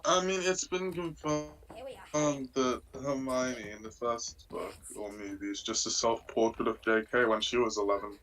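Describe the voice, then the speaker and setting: A woman's voice: a bass voice, normal speed, a little hiss or hum, rough recording. A woman chatting casually as she comments on a film with others. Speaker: commentator on a film watch-along.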